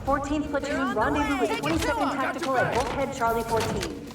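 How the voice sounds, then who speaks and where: A woman playing a formal warship announcer in a video game, giving clipped, controlled, urgent orders over a loudspeaker. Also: high-pitched, a little fast, heavy background noise. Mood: brisk, urgent. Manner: clipped, official. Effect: shipwide loudspeaker. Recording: very echoey, distant, loud music underneath.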